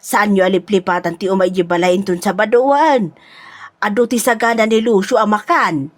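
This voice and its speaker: Audio drama auntie a woman acting: older, hospitable, motherly, Ilocano-accented, gently reassuring delivery. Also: mid-range voice, normal speed, natural voice. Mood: inviting.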